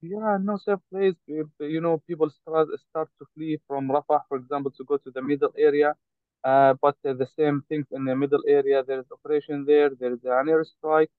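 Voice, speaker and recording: Man, from Saudi Arabia, halting speech full of pauses, interview guest, crisp voice, phone-quality audio. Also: on the high side, steady tone, dry recording. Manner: halting, frequent hesitations. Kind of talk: interview over a call. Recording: tinny choppy call line.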